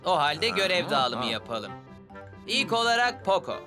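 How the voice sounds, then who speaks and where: Eager young cartoon circus showman, high swoopy voice, pushy and upbeat, music behind. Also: very animated, unhurried. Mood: encouraging. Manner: upbeat, persuasive, showy. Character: enthusiastic young male circus magician, pushy showman coach. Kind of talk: dubbed children's cartoon acting.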